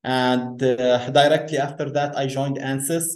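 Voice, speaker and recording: Soft-spoken engineer, echoey room. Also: male voice, medium pitch, flat delivery, a little slow. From Lebanon. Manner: repeats words, many restarts. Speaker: guest engineer. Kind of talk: conversational interview.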